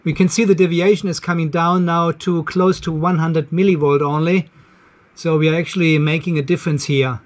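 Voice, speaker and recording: A man's voice: on the high side, steady tone, a little fast. A man, hosting a hands-on workshop demo and explaining each step while he works in a cluttered garage workshop, filmed on a handheld camera held close. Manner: explains step by step while working, thinking aloud. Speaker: channel host.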